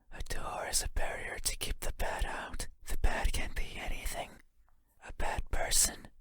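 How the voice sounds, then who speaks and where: Eerie shadow creature, horror game monologue, breathy whisper, crisp hissing edge, lonely, halting, softly insistent. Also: male voice. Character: eerie wandering shadow creature, lonely, curious, longing to be seen.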